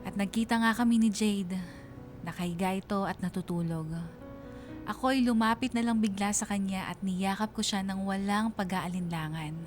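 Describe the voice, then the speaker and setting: A woman's voice: medium pitch, moderate pace, soft background music, close to an everyday voice. A woman playing a heartbroken young woman, narrating her love story earnestly in a radio drama. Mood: wistful, emotional. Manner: earnest, sentimental.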